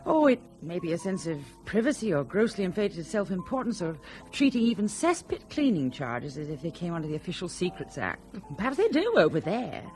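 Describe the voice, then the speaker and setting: Lively woman journalist. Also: lowish voice, soft background music. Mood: curious. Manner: brisk, probing. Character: inquisitive, persistent British woman journalist. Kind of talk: radio drama acting.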